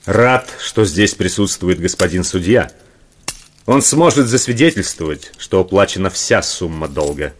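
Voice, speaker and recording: A dignified medieval knight in a radio drama. His voice is low, and he speaks with courtly politeness and an animated rise and fall. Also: a little slow, slight background noise. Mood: quietly triumphant. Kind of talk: Russian audio play acting.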